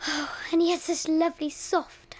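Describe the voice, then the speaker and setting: A very high voice of a young woman plays a prim lady in a comic radio play. She sounds swooning and smitten as she talks. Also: little pitch movement, moderate pace, close to an everyday voice, low-quality recording. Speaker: drama student.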